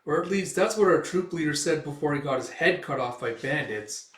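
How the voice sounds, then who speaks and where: A man's voice: fairly high pitch, expressive, fairly quick, a bit bright. A man voicing a chatty, tender-hearted little male elf who talks in an inquisitive, emotional, exclamatory way. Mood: chirpy, curious. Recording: roomy, distant microphone.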